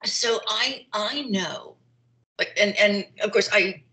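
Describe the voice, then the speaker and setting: A woman's voice: mid-range voice, slow, bright, forceful tone. A middle-aged woman telling candid personal stories conversationally as a guest on a video call. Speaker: guest speaker. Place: open-plan kitchen and living room at home. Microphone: video call, computer microphone.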